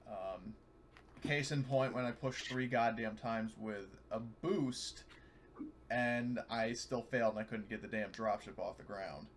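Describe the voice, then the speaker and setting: A man's voice: on the low side, measured pace, a little hiss or hum. A man chatting casually and a bit sweary as a tabletop role-playing player after a game. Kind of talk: casual post-game chat.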